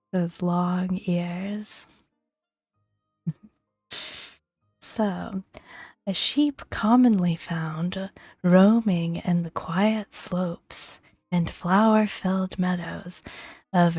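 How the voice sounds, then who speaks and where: A woman speaking softly and gently in a breathy near-whisper, narrating a video game as ASMR. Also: lowish voice, steady tone, very slow, long pauses, dry recording, studio quality. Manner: gentle, hushed delivery. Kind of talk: soft-spoken ASMR video game commentary. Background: soft background music.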